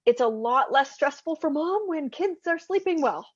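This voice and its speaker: A woman's voice: high-pitched, lively intonation, normal speed, dull audio. A woman hosting a podcast interview in a casual, chatty, informal way. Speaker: podcast host.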